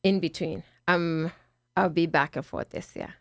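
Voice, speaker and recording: A Kenyan woman with a lowish, slightly nasal voice talks through her story in a loose, chatty way. She restarts her sentences and acts out what she told herself. The recording is close and dry. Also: steady tone, unhurried, no room sound. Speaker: guest. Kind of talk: casual interview chat.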